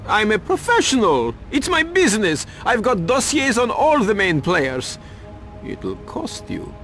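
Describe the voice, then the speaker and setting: A man's voice: high-pitched, huge pitch swings, measured pace, a bit bright, soft background music, full character voice. An actor playing a cagey, self-important, money-minded reporter turned informant in a video game, evasive as he talks. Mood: nervous, cagey. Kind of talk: video game cutscene acting.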